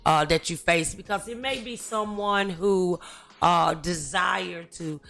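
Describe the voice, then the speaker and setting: A woman hosting a talk show, asking questions casually in a halting, hesitant way. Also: on the low side, gravelly, a bit bright, faint music behind. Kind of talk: casual interview chat.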